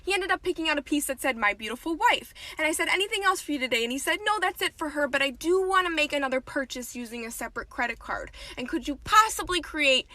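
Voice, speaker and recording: High, bright-voiced woman telling a storytime. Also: expressive, fast, hardly any pauses. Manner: recounts dialogue line by line. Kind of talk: casual social-media storytime.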